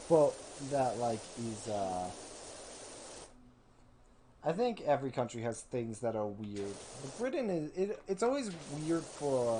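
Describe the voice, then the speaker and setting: A young man casually commenting while playing a video game on a live stream, rambling, swearing and thinking out loud. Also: mid-range voice, slight background noise, rough recording.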